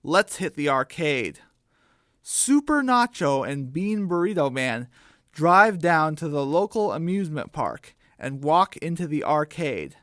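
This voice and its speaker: Slow, higher-voiced man narrating a story. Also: big pitch swings, a bit bright, no echo, clean studio sound. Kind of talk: scripted narration of a comic audio story.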